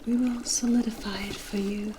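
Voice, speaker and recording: A woman's voice: on the high side, little pitch movement, normal speed, noisy background, natural voice. A woman playing a mysterious, kindly witch in an ASMR roleplay, speaking in a coy, teasing and cryptic way. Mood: cryptic, reassuring.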